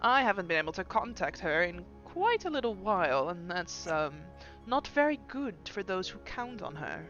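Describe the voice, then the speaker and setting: A woman playing a sly kobold trickster bound to a fey patron, smooth and elegant, wry and wounded by suspicion. The voice is bright and forceful. It comes through a dull, lo-fi recording. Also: on the low side, sing-song, normal speed, soft background music.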